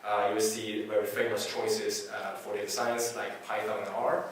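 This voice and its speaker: A man whose first language is Chinese gives a technical talk to a meetup audience, speaking haltingly with many fillers and restarts. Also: fairly low pitch, level tone. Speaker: conference speaker. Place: meetup presentation room. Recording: echoey hall from far off, faint hiss.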